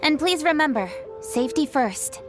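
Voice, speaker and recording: Game dub: young female official, high-pitched, businesslike and commanding, dutiful to a fault. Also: lively intonation, moderate pace, over music. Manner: crisp, formal, commanding. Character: brisk, dutiful young noblewoman official, strict and hard-working. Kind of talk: video game dub acting.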